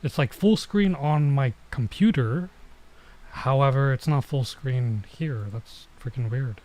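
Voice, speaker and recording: Mellow-voiced man chatting through a game stream. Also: mid-range voice, a little slow. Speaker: streamer, VTuber playing a visual novel. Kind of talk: casual gameplay stream commentary.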